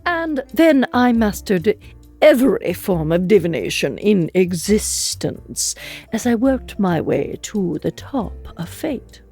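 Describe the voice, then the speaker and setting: A woman's voice: medium pitch, sing-song, slow pace, a little mellow, slightly rough, faint music behind, full character voice. A woman playing an ambitious, impatient immortal in a comedic audio drama, with a haughty, exasperated, articulate manner.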